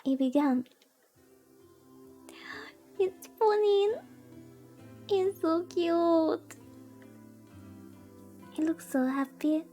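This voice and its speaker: A woman's voice: extremely high pitch, animated, drawn-out words, long pauses, warm tone, soft background music. A woman streamer reading a visual novel aloud in a soothing way, with cutesy, gushing chatty asides. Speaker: VTuber streamer.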